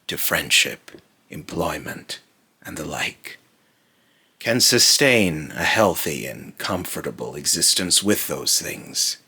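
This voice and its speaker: A man voicing a brooding otherworldly being in a slow, halting, grave monologue, raspy and breathy, warning darkly about mortals. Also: very deep voice, lively intonation, pushed and bright, exaggerated voice. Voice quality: raspy, breathy, lapsing into harsh whisper. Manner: slow, halting, repeats phrases, ominous and grave. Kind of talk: dark dramatic monologue.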